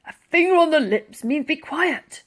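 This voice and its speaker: A woman's voice: high-pitched, animated, a little slow, pitched above the performer's own voice. A woman voicing a pompous, bossy male police commissioner who hisses and barks exasperated orders in a children's storybook.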